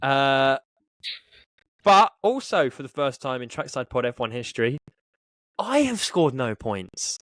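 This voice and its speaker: A man's voice: mid-range voice, sing-song, measured pace, pauses often, a bit bright, no echo. A man co-hosting a sports podcast in a casual, chatty style. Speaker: podcast co-host.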